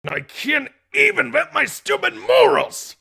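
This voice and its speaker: A man as gruff cynical detective, gravelly, forceful, raspy, blunt, animated, comic dub. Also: very high voice, a little slow, full character voice. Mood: outraged shouting. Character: gruff, cynical, hot-tempered male police detective on stakeout.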